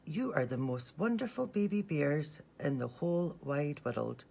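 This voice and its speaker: Woman reading as a gentle mummy bear, low-voiced. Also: expressive, measured pace, vocal fry, heavily acted. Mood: fond, reassuring. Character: warm, loving mummy bear in a children's storybook.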